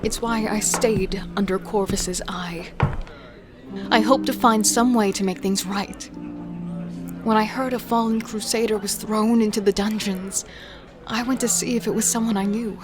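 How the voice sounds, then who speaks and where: An actress playing a guarded, quietly confident healer in a fantasy audio drama, with a deliberate, hushed delivery. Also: female voice, medium pitch, fairly even intonation, lightly acted. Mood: somber. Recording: music playing behind.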